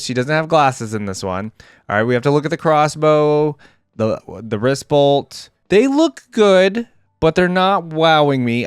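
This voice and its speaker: A man giving casual, unscripted reaction commentary as a gaming fan, slangy and thinking out loud as he second-guesses himself. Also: medium pitch, clear, resonant tone, no echo, studio quality.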